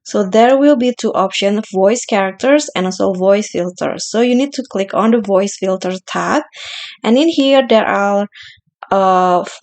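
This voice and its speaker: A woman narrating a software tutorial as its presenter, explaining simply step by step in a somewhat halting way. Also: medium pitch.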